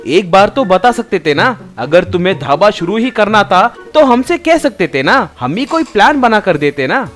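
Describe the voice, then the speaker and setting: A man playing an earnest, easily wounded eatery owner in an animated Hindi story. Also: high-pitched, moderate pace, soft background music. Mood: hurt.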